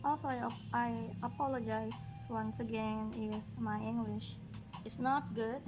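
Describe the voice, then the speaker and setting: A woman from Indonesia, speaking English as a second language, chatting slowly and musingly as she colors on a livestream. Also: a little high, fairly even intonation. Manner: slow, musing, talks while working, light laughs. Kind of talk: relaxed coloring livestream chat. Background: background music.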